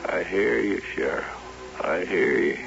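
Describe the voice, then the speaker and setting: An actor playing a grief-stricken, haunted carnival owner, halting and melodramatic, in an old-time radio drama. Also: male voice, sits low, gravelly, heavily acted. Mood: dazed, despairing. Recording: muffled old radio broadcast with music.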